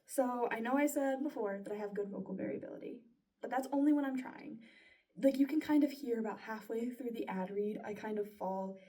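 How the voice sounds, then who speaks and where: Young woman reflecting, mellow and distant. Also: a little high, a little fast. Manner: chatty, earnest, lots of likes and sos. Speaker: broadcasting student. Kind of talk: casual spoken self-reflection.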